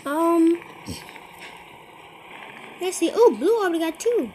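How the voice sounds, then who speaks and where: A teenager giving casual, excitable commentary while playing a mobile game, repeating short phrases and calling out to other players. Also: very high voice, slow pace, slight background noise, poor audio. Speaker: gamer. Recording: game music loud behind voice.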